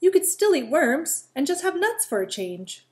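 A woman's voice: high-pitched, moderate pace, voice pushed up. A woman voicing an eager, cheerful young male squirrel in a storybook reading. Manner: excited and pleading.

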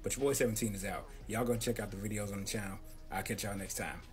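A man in his thirties with a deep, boxy voice, talking close to a handheld mic as he casually walks through his audio setup in a small home room. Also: fairly even intonation, quick pace, music in the background. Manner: laid-back, slangy, talks close to the mic. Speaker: content creator explaining his audio setup. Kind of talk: casual tutorial. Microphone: handheld close-up microphone.

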